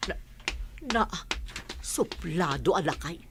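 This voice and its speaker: A woman's voice: sits low, animated, slow pace, a bit bright, a little hiss or hum, heavily acted. A woman with an Ilocano Filipino accent playing a nosy, defensive village gossip in a radio comedy drama.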